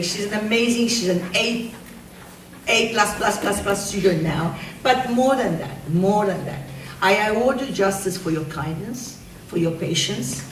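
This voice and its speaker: A woman, a French teacher, giving an award speech at a school assembly and praising a student with feeling. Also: mid-range voice. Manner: warm, emotional praise of a student. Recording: echoey hall, far from the mic, noisy.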